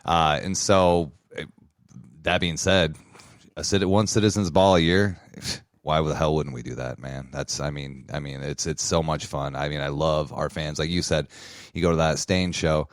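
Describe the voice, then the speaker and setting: A man who sings in a band, with a raspy voice, chatting casually and rambling with self-mocking jokes on a podcast. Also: very deep voice, steady tone, moderate pace, no echo, studio quality. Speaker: band singer, podcast guest. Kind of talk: casual chat.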